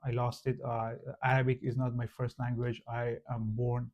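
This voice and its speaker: A man from Iran with a Persian accent, a native Farsi speaker, casually explaining to the camera with many pauses, fillers and restarts. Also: mid-range voice, monotone, lo-fi sound. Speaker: channel host.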